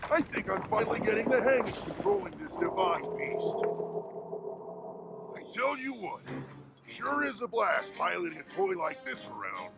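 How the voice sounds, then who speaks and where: A big, hearty warrior of stone from a fantasy game. His voice is raised in pitch and very gravelly, boisterous and folksy, with music clearly behind it. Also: very animated, moderate pace, full character voice. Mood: exuberant. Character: big hearty gruff male rock-folk warrior, jovial. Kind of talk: scripted game cutscene voice acting.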